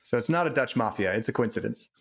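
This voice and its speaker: A man's voice: medium pitch, animated, fast, creaky. Eager male podcast host. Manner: eager, friendly questioning. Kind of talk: conversational interview.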